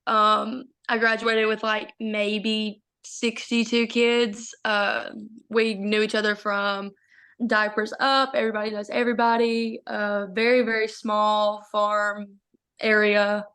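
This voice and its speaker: A young woman from Arkansas, a college softball pitcher, chatting casually as a podcast guest with frequent giggles and lots of "like". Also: little pitch movement, slow, clean tone. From Arkansas, USA. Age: young adult. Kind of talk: casual interview chat.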